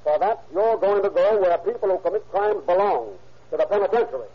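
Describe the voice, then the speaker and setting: A stern 1930s police lieutenant. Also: male voice, sits high, expressive, fairly quick, breathy edge. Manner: firm, pressing questions. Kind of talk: 1930s radio drama acting. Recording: dull lo-fi 1930s radio recording.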